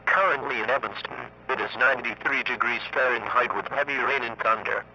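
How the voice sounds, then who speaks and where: A man reading scripted emergency weather warnings in a formal, monotone synthetic voice. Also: mid-range voice, brisk. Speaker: emergency alert announcer. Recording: muffled lo-fi radio broadcast, faint noise.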